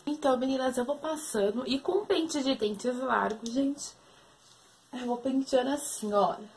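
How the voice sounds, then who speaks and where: A woman's voice: high voice, moderate pace, loud music behind the voice. A young woman from Brazil chatting casually through a hair tutorial from her bedroom, talking to the camera the whole time. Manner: chatty, says "gente" and "meninas" constantly. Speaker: beauty vlogger. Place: small bedroom with shelves, indoors.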